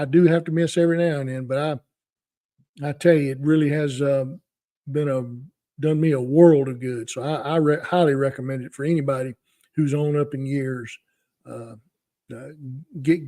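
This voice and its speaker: A man in his sixties talking off the cuff on a podcast, with a halting, folksy, earnest manner. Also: on the high side, mellow. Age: 60s. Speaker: podcast co-host. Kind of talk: unscripted talk, opinion and testimonial.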